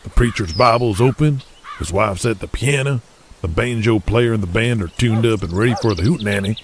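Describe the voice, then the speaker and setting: An actor playing a gruff, shrewd wrestling promoter from Texas in an audio drama, with a folksy, deliberate way of speechifying. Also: male voice, lowish voice, a little hiss or hum, close to an everyday voice. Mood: wry impatience.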